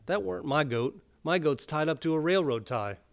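Guy doing a folksy old farmer, drawling, a bit higher and louder than his reading voice. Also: animated, normal speed, creaky, smooth. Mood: puzzled. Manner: drawling, folksy country talk. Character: gruff rural old farmer hunting his goat.

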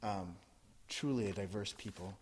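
Man reading poems, then chatting with a crowd. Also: lowish voice. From New Jersey, USA. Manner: measured, intense recitation, then chatty and laughing with listeners. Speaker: poet. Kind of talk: poetry reading and audience talk. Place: live event in front of an audience.

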